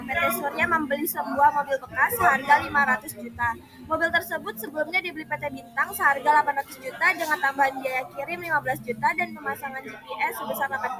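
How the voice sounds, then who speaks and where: A young woman, a student, presenting a worked accounting problem in class, talking hesitantly with frequent fillers. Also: high-pitched. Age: young adult.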